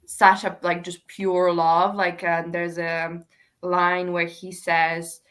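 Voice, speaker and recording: A woman with a fairly low voice talks through books in a casual group discussion. She is effusive and gushing, and her wording is informal and full of slang. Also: flat delivery, takes it slowly, fairly bright tone. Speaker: book discussion co-host. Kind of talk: casual book chat.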